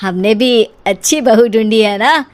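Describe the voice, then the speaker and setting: A woman's voice: a little high, measured pace, natural voice. A woman voicing a smug, boastful older neighbour man who needles others in a cartoon family story.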